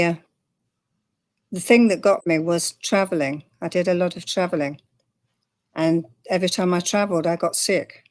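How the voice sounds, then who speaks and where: An older woman from England, calmly and plainly sharing her story as an interview guest. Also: fairly low pitch. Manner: calm, matter-of-fact, with light laughs. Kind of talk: candid personal interview.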